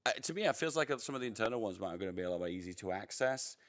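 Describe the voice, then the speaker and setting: A man's voice: on the low side, lively intonation, racing, a bit bright. A man from Yorkshire with a Yorkshire accent, chatting casually and rambling as he commentates a live strategy game stream. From Yorkshire, England. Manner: chatty, rambling, laughs easily. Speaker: livestream commentator.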